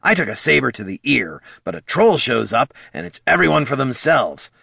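A man's voice: mid-range voice, big pitch swings, normal speed, vocal fry, pushed and bright, husky, no echo, clean studio sound, voice pushed up, full character voice. A man voicing a small, scrappy male kobold who rambles nervously and talks himself in circles. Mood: grumbling.

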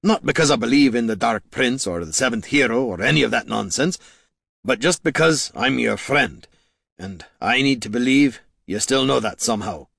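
A man voicing a gruff, loyal dwarf hero with a blunt, emphatic and hearty way of talking. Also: medium pitch, expressive, moderate pace, a bit bright, no room sound, clean studio sound, exaggerated voice. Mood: tender.

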